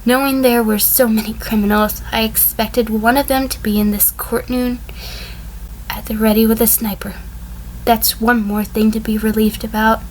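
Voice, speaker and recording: A woman playing a wary sheep defendant in a fan audio drama, giving measured, dry testimony. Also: fairly high pitch, level tone, slight background noise, close to an everyday voice, rough recording. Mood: composed, wary. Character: female sheep defendant, a wary, composed former conspirator.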